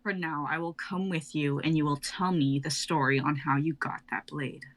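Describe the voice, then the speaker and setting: A woman's voice: low voice, fairly even intonation, moderate pace, close to an everyday voice. A woman voicing Bia, a haughty, scheming mentor who speaks with command.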